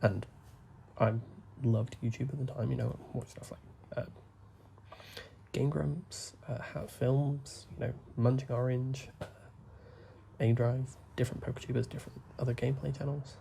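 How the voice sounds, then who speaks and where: A young man, talking softly and rambling as he plays a game, full of fillers and asides. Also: medium pitch, steady tone, creaky, slight background noise. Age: young adult. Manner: soft, deliberately hushed talk with frequent fillers. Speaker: gaming YouTuber. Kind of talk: rambling gameplay commentary.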